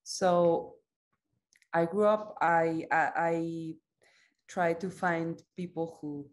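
Low-voiced woman, Mexican accent, native Spanish speaker, thirties, reflective conversation, questioning tags at sentence ends, video call. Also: flat delivery, very slow. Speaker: psychoanalyst and author in conversation. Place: indoor room at home, video call. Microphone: video call microphone.

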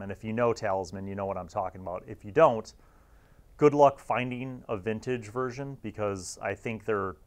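Low-voiced American man, reflective. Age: 40s. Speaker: host. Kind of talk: casual review talk to camera.

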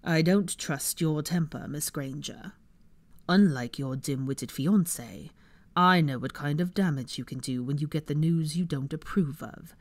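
A sardonic, haughty wizard in middle age, played by a woman reader for a storybook. He drawls slowly and low, with controlled sarcasm and clipped condescension. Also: no room sound. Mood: stern, condescending.

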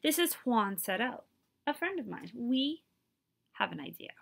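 A woman's voice: a little high, sing-song, measured pace, gravelly, fairly bright tone, pitched above the performer's own voice, full character voice. A woman voicing an earnest, encouraging man who mentors a young tennis player in a storybook. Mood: excited.

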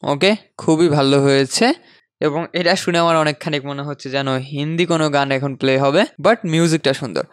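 A man's voice: a little high, steady tone, moderate pace, no room sound. A man, presenting a scripted tech tutorial to viewers, close on a studio microphone. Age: 20s. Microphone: close studio boom microphone. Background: faint background music.

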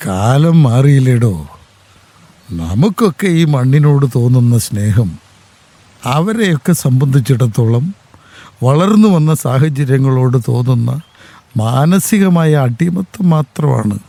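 A man playing a proud older farmer in a radio drama, with a Kerala Malayalam accent and a steady, fatherly way of talking. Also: medium pitch, takes it slowly, no room sound. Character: proud aging farmer devoted to his land. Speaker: old farmer, husband and father.

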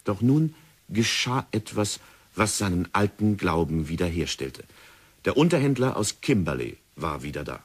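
Deep husky narrator, man, measured scripted reading. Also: very animated. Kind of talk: scripted radio drama narration.

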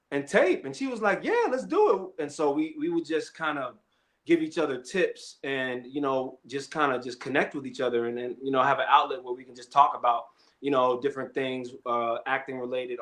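Relaxed slangy young man actor. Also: mid-range voice, moderate pace. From Kenya. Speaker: actor guest. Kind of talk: casual interview chat.

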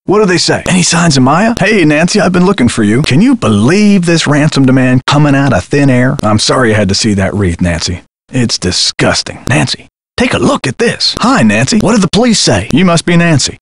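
An actor playing a kindly, folksy old theater projectionist in a video game, with old-fashioned turns of phrase and a husky voice. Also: male voice, fairly high pitch, no echo. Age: older. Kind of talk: scripted video game character dialogue.

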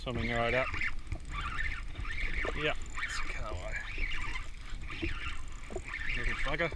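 A man giving relaxed running commentary while fishing, talking to himself and the fish, recorded outdoors on an action camera on a jet ski. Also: medium pitch, slow. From New Zealand. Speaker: fishing vlogger. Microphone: action camera worn on the body. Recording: wind and water noise on an action camera.

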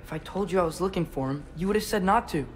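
Young man playing an earnest, higher-voiced teenage boy. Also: fast talker, slight background noise, close to an everyday voice. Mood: pleading, earnest. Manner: earnest, explaining eagerly. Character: earnest, eager teenage boy, persuasive and headstrong son. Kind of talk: dramatic video game acting. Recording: clear music behind the voice.

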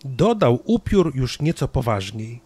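Male narrator for a children's tale, mid-pitched with animated intonation. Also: a little slow, slightly rough. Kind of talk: scripted children's story narration.

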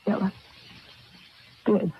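An actress playing a dazed, grieving young woman, halting and plaintive, in 1940s radio horror style. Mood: dazed shock. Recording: dull, very noisy old-time radio recording.

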